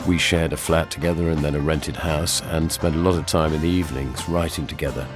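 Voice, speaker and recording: A man's voice: extremely low pitch, fairly even intonation, a little fast, music in the background. An older man with a British accent reading a scripted radio documentary as its presenter. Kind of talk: scripted narration.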